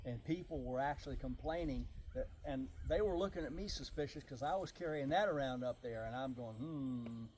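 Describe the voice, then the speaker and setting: Mellow-voiced man telling a story. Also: medium pitch, brisk, few pauses, slight background noise. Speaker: chapter member. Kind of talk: casual storytelling.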